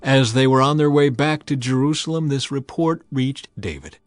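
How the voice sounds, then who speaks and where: A man reading scripture as an audiobook narrator, in a measured, expressive, dramatized storytelling style. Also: medium pitch, no echo.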